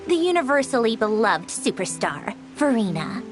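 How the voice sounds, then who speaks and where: A woman playing a gracious, composed young woman in a video game, speaking in a courteous, measured and sincere way. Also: fairly high pitch, very animated, over music. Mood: sincere, admiring.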